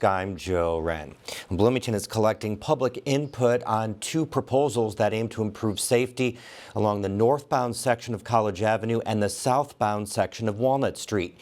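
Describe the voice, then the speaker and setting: A man's voice: lowish voice, a little mellow. A man anchoring a local news program with scripted delivery.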